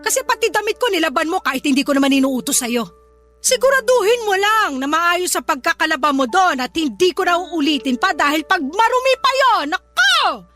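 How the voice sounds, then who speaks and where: Older mother-in-law, radio drama, piercing bright voice, scornful, scolding, sarcastic. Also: very high-pitched, big pitch swings, fairly quick, faint music behind, exaggerated voice. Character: scornful, nagging older mother-in-law who belittles her daughter's partner.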